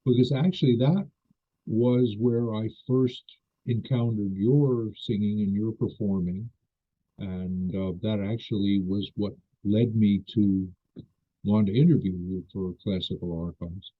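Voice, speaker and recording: Older male interviewer, low husky voice, polite and hesitant, on a tinny phone line. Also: fairly even intonation, a little slow. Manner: polite, hesitant, apologetic, restarts phrases. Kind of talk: interview questions.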